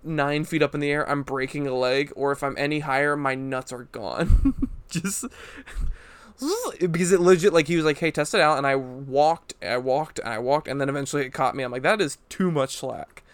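A man's voice: a little high, normal speed, clean tone, dry recording. A young man telling stories in a casual podcast chat, laughing often and acting out what people said. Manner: animated storyteller, laughs often, acts out quotes. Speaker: podcast co-host. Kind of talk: casual chat among friends.

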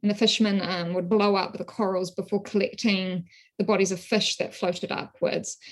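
A woman from New Zealand with a New Zealand accent, a scholar speaking in a thoughtful, measured way with frequent ums. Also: medium pitch. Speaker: guest scholar. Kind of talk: academic interview.

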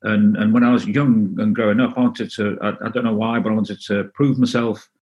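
A middle-aged man from North Wales, Welsh as his first language, answering interview questions in a halting, casual way with many restarts. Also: on the low side, level tone, darkish tone, highs cut off. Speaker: interview guest.

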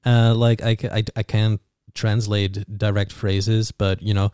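A man hosting an interview in a smooth, mellow voice, recorded dry and close. He hesitates and restarts his sentences, leaning on uh and like. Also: fairly low pitch, little pitch movement, dry recording. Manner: halting, many restarts and fillers. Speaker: host. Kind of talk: conversational interview.

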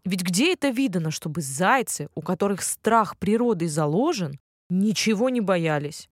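A woman narrating a children's bedtime fairy tale as an expressive storyteller. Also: lowish voice, moderate pace, no room sound, studio quality.

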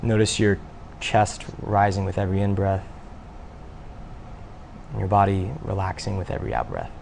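A man with a low, creaky voice leads a guided meditation. He gives simple instructions in sparse, unhurried phrases and leaves long gaps. Faint noise sits behind the voice. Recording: faint steady background noise.

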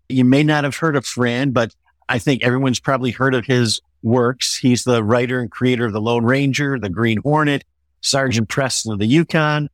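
A middle-aged or older American man answering interview questions as an author, in a measured, explanatory way. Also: mid-range voice, no room sound.

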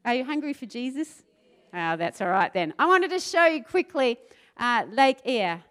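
New Zealand woman preaching, smooth and high. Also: very animated, moderate pace, a bit bright. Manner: builds to fervent, rising intensity; asks the crowd questions, conversational asides. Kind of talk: sermon.

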